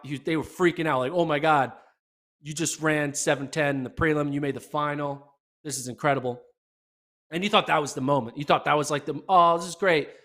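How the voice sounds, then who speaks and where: A man hosting a casual solo podcast recap, chatty and excitable, with a voice going hoarse. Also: on the high side. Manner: excitable, chatty, many fillers. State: losing his voice. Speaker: podcast host.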